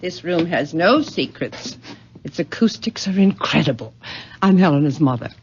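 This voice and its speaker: A woman's voice: low-pitched, animated, noisy background. A woman playing a genteel middle-aged mother, formal and controlling, in a 1970s radio drama. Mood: composed, controlling. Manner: formal, measured, soothing yet condescending. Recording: dull vintage radio recording.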